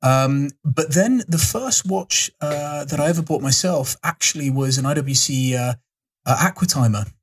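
A man's voice: on the high side, normal speed, husky. A man from South Africa as a guest in a casual interview, speaking thoughtfully and hesitantly, often repeating words. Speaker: podcast guest.

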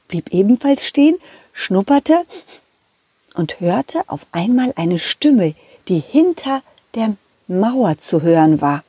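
A woman telling a children's story as an animated narrator. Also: mid-range voice, husky, no echo. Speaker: storyteller and puppeteer.

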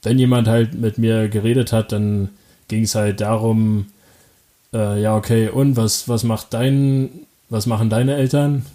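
A young man from Germany talks through his story in a relaxed interview, stopping and restarting often and breaking into short laughs. His voice sits low and dark, with very little rise and fall. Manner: halting, many fillers and restarts, laughs often. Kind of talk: casual interview answers.

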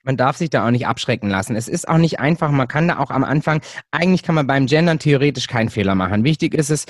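Animated man, mid pitch, dry close mic. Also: fast talker, no echo. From Germany. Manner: animated, hesitant restarts. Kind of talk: casual conversation.

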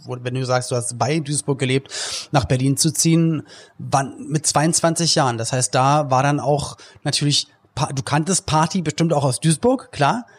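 A man hosting a podcast, chatting casually in colloquial language with animated exclamations. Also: mid-range voice, normal speed. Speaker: podcast host. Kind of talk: casual chat.

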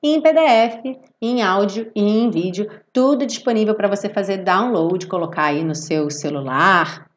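A Brazilian woman with a medium-pitched voice, a little hoarse from a cold. She talks informally to viewers as a teacher on a livestream, in an expressive, affectionate and chatty way. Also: normal speed, hardly any pauses. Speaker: English teacher hosting a live online music-based class.

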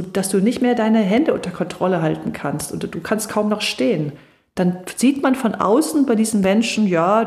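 A middle-aged woman coaching on voice and stage fright, explaining calmly and reassuringly in a podcast conversation. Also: mid-range voice. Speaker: voice and charisma coach. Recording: echoey room.

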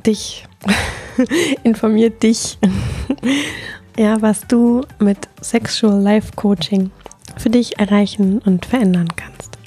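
A woman hosting her own podcast as a coach, speaking gently and reflectively, a little nasal from a cold. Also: medium pitch, slow, pauses often, breathy edge, soft background music. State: has a cold, slightly nasal. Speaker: podcast host and sexual life coach. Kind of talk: solo podcast talk.

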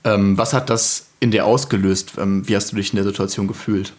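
A man hosting a podcast, calmly asking an interview guest long, searching questions. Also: lowish voice, a little fast. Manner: calm, searching questions in long winding sentences. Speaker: podcast host.

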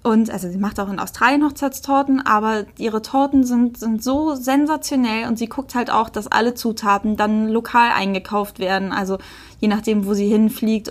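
A woman co-hosting a podcast, telling anecdotes in a casual, conversational and animated way. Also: fairly high pitch. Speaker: podcast co-host.

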